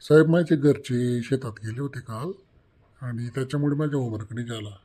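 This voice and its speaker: A man's voice: mid-range voice, brisk, very noisy, close to an everyday voice. A man voicing a small, wordy, excuse-making schoolboy in a comedy cartoon, with a Khandeshi Ahirani accent.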